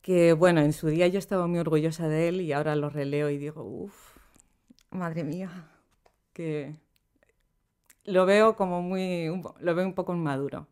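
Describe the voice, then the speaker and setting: A middle-aged woman, a poet, talking in a relaxed and reflective way as a podcast guest, laughing easily between thoughts, recorded in a small studio room. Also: lowish voice, moderate pace, clean tone. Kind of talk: relaxed conversational interview. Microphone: studio microphone on boom arm.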